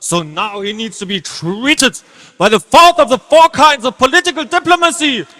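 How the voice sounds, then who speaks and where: A man's voice: extremely high pitch, lively intonation, normal speed, sharp tone, full character voice. A man playing an unctuous, scornful religious teacher in a theatrical, declamatory stage reading. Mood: indignant. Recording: very reverberant hall.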